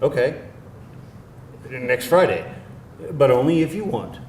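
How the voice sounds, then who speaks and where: A man's voice: mid-range voice, animated, takes it slowly, slight background noise. A man playing a flustered, insecure boyfriend in a live screenplay table read, with an incredulous, emphatic delivery. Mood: apologetic. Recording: echoey room, live reading.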